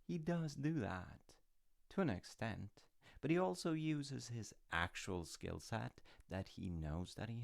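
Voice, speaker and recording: A man playing a reflective, encouraging father in an intimate audio roleplay, musing and rambling in a deep, gravelly voice. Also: heavily acted. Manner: unhurried, musing, gently reassuring.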